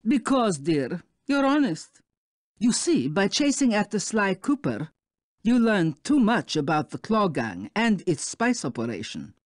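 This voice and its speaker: An actress playing a condescending, menacing spider villainess in a video game, with a silky manner. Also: female voice, medium pitch, big pitch swings, unhurried, darkish tone, no room sound, studio quality. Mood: smug. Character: sinister, condescending spider villainess, a secretly corrupt Interpol official.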